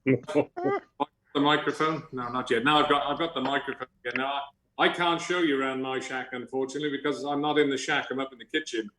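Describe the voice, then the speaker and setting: A man's voice: medium pitch, fairly even intonation, fairly quick, a bit bright, slight background noise. A man with a mid-range voice joins a video call from his kitchen, polite and good-humoured as he asks his question. His voice carries a roomy echo. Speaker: radio club member on the call. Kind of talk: casual video call chat. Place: home kitchen.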